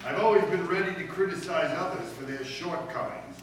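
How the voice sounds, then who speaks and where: A man's voice: a little high, fairly quick, fairly bright tone, lightly acted. Echoey, pompous principal played by a man. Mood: ingratiating. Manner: pompous, florid, mock-humble speech. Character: pompous high school principal feigning humble meekness. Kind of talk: old-time radio comedy acting before an audience. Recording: echoey room, distant mic, faint noise.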